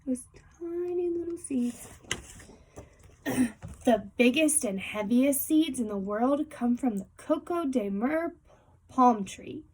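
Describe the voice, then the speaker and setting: A woman's voice: high voice, lively intonation, slow pace, a little mellow, husky, rough recording. A woman reading a children's picture book aloud like a teacher, adding explanatory asides and speaking directly to young listeners.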